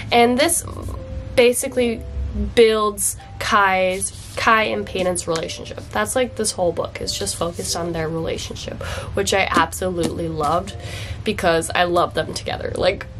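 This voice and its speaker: A woman's voice: mid-range voice, a bit bright, a little hiss or hum. Young woman chatting with a congested cold. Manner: chatty and gushing, with laughs and fillers. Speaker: book vlogger. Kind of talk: casual chat to camera. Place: dim indoor room.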